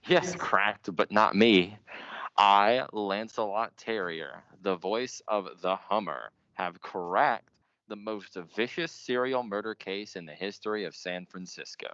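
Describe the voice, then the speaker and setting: A young man playing a grandiose, obsessive radio announcer, theatrical and boastful in a high school radio play. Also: unhurried, a bit bright, dry recording. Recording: dull lo-fi recording.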